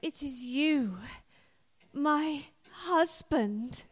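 A woman's voice: high-pitched, animated, extremely slow, exaggerated voice. A woman playing a dreamy, vain society wife in a radio play. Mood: lost, bewildered.

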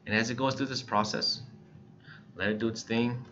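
An American man giving a casual, plain-spoken step-by-step tutorial as he presents software on screen. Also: mid-range voice, steady tone, normal speed. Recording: dull lo-fi voice-over recording.

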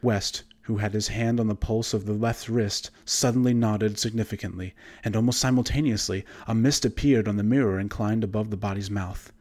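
Male narrator for suspense and horror fiction: slightly deep, measured delivery, formal literary reading. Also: steady tone, darkish tone. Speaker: audiobook narrator and voice actor. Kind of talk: scripted narration of a horror story.

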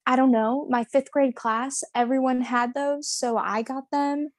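A woman's voice: high-pitched, fairly even intonation, measured pace, dry recording, studio quality. A teenage girl chatting on a podcast as a guest, giggly and easygoing. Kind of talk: casual chat.

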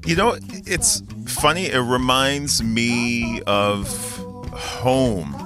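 A man from Guyana on a radio panel, talking about music in a relaxed, reflective way. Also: mid-range voice, extremely slow. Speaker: guest music critic.

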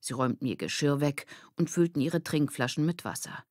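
A woman narrating a children's audiobook in a clear, measured, expressive storybook style. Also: deep voice, dry recording, clean studio sound.

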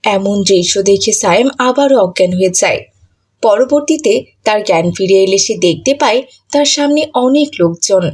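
A woman's voice: medium pitch, expressive, normal speed, darkish tone, slightly rough. A woman giving scripted narration for a cartoon story.

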